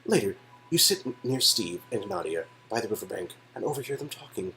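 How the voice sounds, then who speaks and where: A man reading a game's narration aloud in a casual way, animated, and often breaking off into joking asides. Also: lowish voice, brisk, soft background music, poor audio. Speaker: reader of game dialogue.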